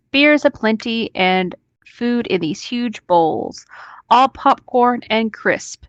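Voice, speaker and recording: A woman's voice: medium pitch, dry recording, lo-fi sound. A woman reading a story aloud as a co-host, informal and joking, with casual asides and free swearing.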